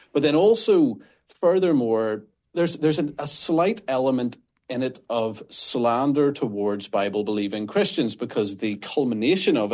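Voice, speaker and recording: A man's voice: lowish voice, darkish tone. A man with a Northern Irish accent, a pastor answering interview questions earnestly, often restarting his sentences. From Northern Ireland. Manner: earnest, with frequent restarts and fillers. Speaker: pastor and guest.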